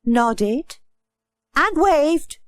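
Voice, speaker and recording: A woman's voice: sits high, very animated, drawn-out words, no room sound, heavily acted. A woman voicing an exasperated, practical wife and mother in a children's storybook recording. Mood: incredulous. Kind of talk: audiobook character acting.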